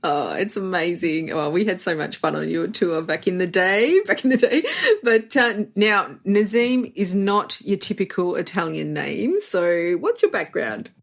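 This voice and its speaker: A woman's voice: lowish voice, animated, a little slow, creaky. A woman hosting a travel podcast, interviewing a guest in a friendly way and laughing easily. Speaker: podcast host.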